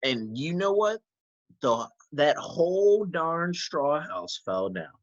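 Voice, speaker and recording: A man voicing a folksy, aggrieved storybook wolf telling his side of the story, with expressive emphasis and dramatic pauses. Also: a little high, dull audio, exaggerated voice. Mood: matter-of-fact, sly. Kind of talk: animated children's story read-aloud.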